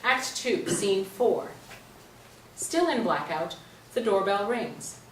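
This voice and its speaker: A woman's voice: mid-range voice, lively intonation, slow pace. A woman narrator reading stage directions in a scripted play reading. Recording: roomy stage, distant mic, faint noise.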